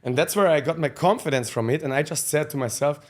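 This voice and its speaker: A man's voice: fairly high pitch, quick pace. A man. He is a podcast guest telling his life story candidly in blunt, profane English with loose grammar. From Austria. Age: 30s. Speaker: guest, fight coach.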